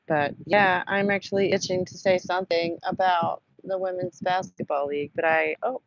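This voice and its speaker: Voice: medium pitch, steady tone, vocal fry, dry recording. Rambling host with a sore throat. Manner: rambling and self-deprecating, with halting fillers. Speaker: host of a live book-club chat. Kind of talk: casual livestream chat. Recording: dull lo-fi sound with a fan humming.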